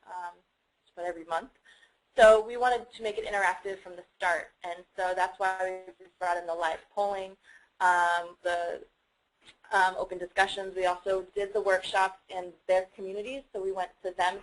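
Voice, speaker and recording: A woman's voice: mid-range voice, a bit bright, poor audio. A woman speaking as a webinar panelist, giving conversational explanations with frequent hesitant ums. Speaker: panelist, climate workshop facilitator.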